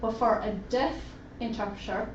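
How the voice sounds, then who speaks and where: A woman voicing a deaf presenter's talk in the first person, in a measured, steady way, at a roundtable event. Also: a little high. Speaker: sign language interpreter voicing a deaf presenter. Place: conference room at a roundtable event. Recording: roomy, distant, dull lo-fi sound with faint noise.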